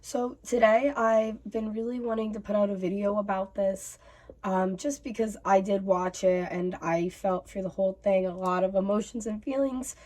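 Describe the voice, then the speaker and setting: A woman in her twenties hosting a reaction vlog, voice raspy from bronchitis, chatting casually with many fillers. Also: medium pitch, steady tone, moderate pace, darkish tone. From North America. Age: 20s. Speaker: YouTube host.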